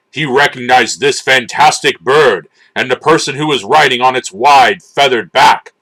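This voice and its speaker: A man giving a dramatic, theatrical and deliberate scripted narration of a children's storybook. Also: mid-range voice, a bit bright, rough recording.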